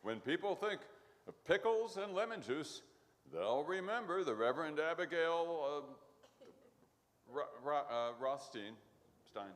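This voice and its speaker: A man playing a nostalgic, rambling older festival commentator in a live radio comedy, folksy and halting. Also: fairly high pitch, lively intonation, fairly bright tone. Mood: wistful. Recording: echoey hall with long reverb.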